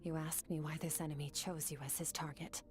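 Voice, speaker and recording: An actress playing a calm, aloof, analytical warrior woman in a video game, with a measured, clipped, commanding manner. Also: sits low, over music, close to an everyday voice. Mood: cool, explanatory. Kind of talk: English-dubbed video game voice acting.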